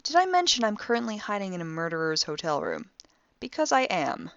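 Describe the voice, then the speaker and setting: A woman's voice: medium pitch, wildly swooping pitch, brisk, fairly bright tone, no echo, highs cut off. A woman playing a wry, impulsive young amateur detective, recording a spoken log in an audio drama with sardonic, theatrically dry conversational delivery. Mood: smug, conspiratorial.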